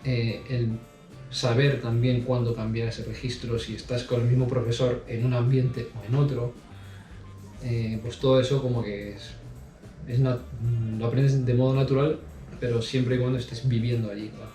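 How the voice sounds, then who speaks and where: A man answering interview questions in a relaxed way, with lots of pauses and restarts. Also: mid-range voice, recorded from a distance, soft background music. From Spain. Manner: hesitant, many fillers and restarts. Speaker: interview guest. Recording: roomy sound from off mic.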